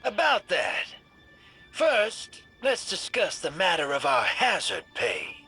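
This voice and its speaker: A man's voice: high-pitched, huge pitch swings, takes it slowly, pushed and bright, breathy edge, soft background music, exaggerated voice. An actor voicing a cocky, wisecracking male space smuggler with a sardonic, drawling, slangy delivery in a video game. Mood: teasing, smug.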